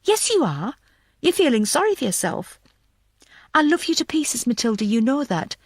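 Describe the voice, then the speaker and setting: A woman's voice: sits high, sing-song, normal speed, no echo, studio quality, voice pushed up. A woman narrator voicing a blunt, bossy but caring female pathologist in an audiobook, emphatic and exasperated. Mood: exasperated, firm.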